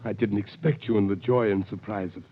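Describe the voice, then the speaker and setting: A man's voice: on the low side, steady tone, brisk, lightly acted. An actor playing a tender, frail elderly father in a 1930s radio drama, on a tinny, hissy old recording. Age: older.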